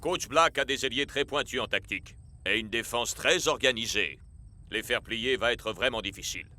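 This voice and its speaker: A man's voice: medium pitch, moderate pace, sharp tone. A man voicing a commanding, serious football coach in a cartoon. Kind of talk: animated cartoon dub acting. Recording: music behind the voice.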